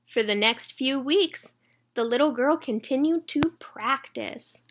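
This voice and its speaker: Female read-aloud storyteller for kids, higher-pitched voice, expressive and engaging. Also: takes it slowly, slight background noise. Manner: engages young listeners with questions. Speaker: children's read-aloud reader.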